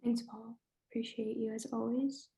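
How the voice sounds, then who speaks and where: A woman with a slightly hoarse, unwell voice presents slides on a webinar, explaining in a halting way with frequent pauses. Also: a little high, fairly even intonation, dark tone. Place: indoor room on an online webinar. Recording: roomy, echoey webinar sound.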